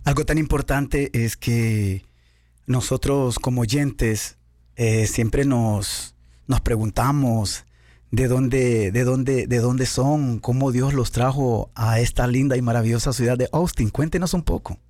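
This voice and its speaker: A man's voice: medium pitch, slow, no echo, studio quality. Effusive man hosting a radio show. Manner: warm, effusive greetings with hesitant fillers. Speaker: radio host. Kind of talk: live radio interview. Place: radio studio. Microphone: studio microphone.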